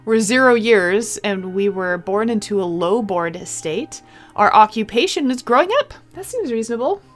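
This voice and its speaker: A woman's voice: medium pitch, expressive, moderate pace, faint music behind. A woman doing a let's-play of a visual novel, reading the text aloud expressively and adding casual, chatty comments. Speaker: game streamer.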